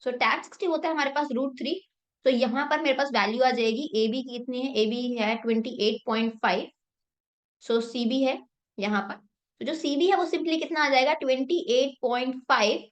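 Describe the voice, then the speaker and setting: A woman's voice: high-pitched, muffled recording. Woman teacher with a sore throat. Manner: explains step by step, asks and answers own questions. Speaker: math teacher. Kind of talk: Hindi-English math lesson.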